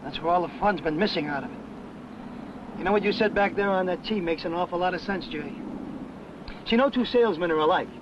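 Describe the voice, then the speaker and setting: A man's voice: high-pitched, brisk, long pauses, close to an everyday voice. A man playing an earnest, affable salesman in a 1970s sales training film, explaining things in an eager, folksy way. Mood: upbeat, relieved. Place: mostly outdoors on a golf course. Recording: muffled, very noisy 1970s 16mm film sound.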